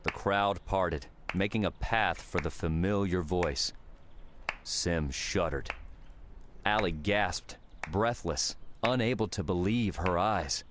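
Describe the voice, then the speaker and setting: Very deep, slow male narrator. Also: background noise. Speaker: audiobook narrator. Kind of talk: dramatic scripted narration. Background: music.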